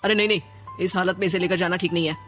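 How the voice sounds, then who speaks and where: A performer voicing a dismissive, irritable young husband in an animated story, brusque and defensive. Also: mid-range voice, very fast, natural voice. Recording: music under the voice.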